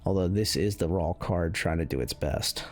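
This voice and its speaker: A man's voice: deep voice, normal speed, darkish tone. A man giving casual, unscripted commentary as a game reviewer, explaining things in a meandering, hesitant way. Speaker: video game reviewer running benchmarks. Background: music under the voice.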